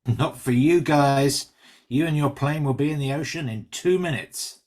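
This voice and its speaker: A man's voice: a little high, normal speed, darkish tone, lightly acted. A man playing a callous, mocking traitor pilot with a smug, sarcastic delivery in a script read. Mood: smug, cold. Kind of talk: screenplay table read acting.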